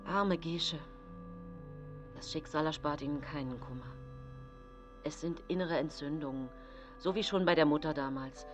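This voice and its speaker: Woman voicing a low, grim male doctor. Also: moderate pace, pauses often, over music, close to an everyday voice. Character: grim, blunt male country doctor delivering bad news. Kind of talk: radio drama dialogue.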